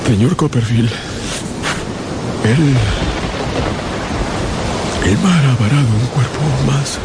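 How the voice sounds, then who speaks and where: An actor playing a grave fisherman in a radio drama, halting and solemn, with heavy pauses. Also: mid-range voice, lightly acted. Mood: grave, hesitant. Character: grave fisherman bearing bad news. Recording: loud surf and storm noise.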